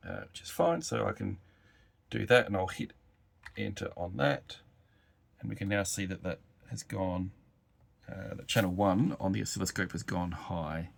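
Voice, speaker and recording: A man's voice: low voice, vocal fry. A man giving a casual live technical demonstration as a developer, thinking aloud hesitantly while he works and types. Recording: noisy room with keyboard clicks. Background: typing and clicking at a workbench keyboard.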